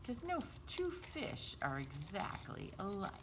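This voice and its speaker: Animated woman leading a children's craft. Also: mid-range voice, measured pace, background noise. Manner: sing-song, simple child-directed explaining, often refers to self in third person. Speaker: children's storytime and craft host. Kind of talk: step-by-step craft instruction for young children.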